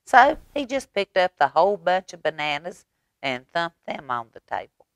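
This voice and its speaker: A woman reading a picture book aloud at storytime for young children, animated, with chatty asides to her listeners. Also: lowish voice, moderate pace, no room sound, clean studio sound.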